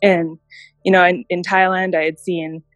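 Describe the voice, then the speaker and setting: A woman in her thirties, from Japan, with a lower voice on a dry, close recording. She speaks reflectively as an interview guest, telling her story with thoughtful pauses. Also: flat delivery, no echo, faint music behind. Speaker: guest, healthcare innovation director. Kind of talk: interview conversation.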